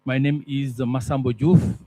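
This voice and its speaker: A man's voice: mid-range voice, level tone, normal speed, warm tone. A man from Senegal, an executive secretary, giving a formal introduction and correcting his title as he speaks.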